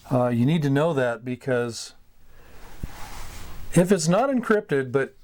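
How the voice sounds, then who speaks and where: A man hosting an informal hobby lesson, explaining step by step in a halting way and repeating words as he goes. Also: mid-range voice, a little mellow. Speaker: radio hobbyist host giving beginner advice. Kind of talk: informal instructional talk.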